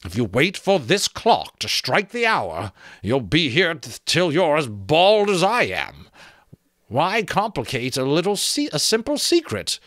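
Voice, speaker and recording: A man performs a talking skull from a fantasy audiobook, pitched well up and swinging widely. It is wheedling, teasing and worldly-wise, and it draws words out with theatrical relish. The sound is close and dry. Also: huge pitch swings, a little slow, a bit bright, no echo, full character voice. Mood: impatient, mocking.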